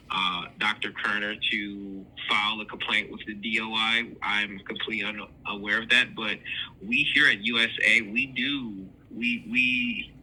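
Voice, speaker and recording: Male insurance adjuster, formal phone manner. Lowish pitch, hard piercing tone, firm, repetitive delivery. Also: pushed and bright, slightly rough, low-quality recording. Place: phone call.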